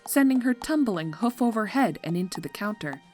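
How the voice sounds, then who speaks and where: A woman narrating scripted fiction in an expressive, measured, drily comic storytelling style. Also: mid-range voice. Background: music.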